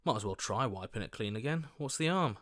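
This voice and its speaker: An actor playing an earnest, anxious hero's inner voice in a video game, conversational and questioning. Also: male voice, mid-range voice, expressive, brisk, a bit bright, dry recording, clean studio sound, close to an everyday voice. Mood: eager, exasperated. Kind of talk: video game character voice acting.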